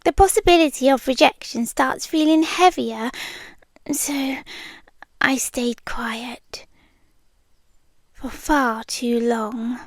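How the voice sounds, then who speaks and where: A British woman playing a shy, smitten monster from under the bed in an ASMR roleplay, speaking softly and tenderly, often in whispers, close to the microphone. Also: high-pitched, no room sound. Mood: shy, confessional. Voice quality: soft, breathy, often dropping into whispers. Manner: slow, hesitant, tender delivery. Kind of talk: ASMR roleplay, intimate monologue. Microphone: close microphone, dry.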